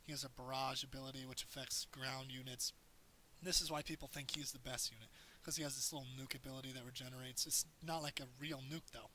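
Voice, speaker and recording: A man with a hoarse voice narrates his own strategy game match, walking through tips in a loose, rambling way. His tone is bright and forward, with a light hiss under the recording. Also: medium pitch, fairly even intonation, fast, rough recording. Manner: explains as he plays, loose and rambling. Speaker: gameplay commentator. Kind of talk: casual game tutorial commentary.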